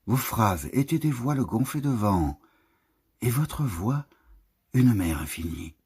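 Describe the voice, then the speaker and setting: A man with a husky, breathy voice reads poetry in a solemn, lyrical manner, lingering over the phrases of a literary text. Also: mid-range voice, expressive, slow, a little mellow. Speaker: poetry reader. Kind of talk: literary poetry recitation, scripted narration.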